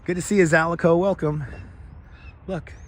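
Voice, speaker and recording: A man with a raspy, slightly squeaky, hoarse voice, chatting casually and repeating himself as he hosts a walking livestream. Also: fairly even intonation, normal speed. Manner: rambling, repeats phrases, greets viewers by turns. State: hoarse from overuse. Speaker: livestream host. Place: outdoors in a city park, walking. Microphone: wireless clip-on lavalier mic. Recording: clear outdoor ambient and traffic noise.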